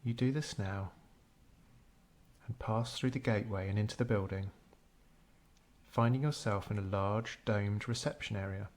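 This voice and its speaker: A man narrating a scripted guided meditation in a measured, unhurried storytelling way. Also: fairly low pitch, steady tone, a little mellow.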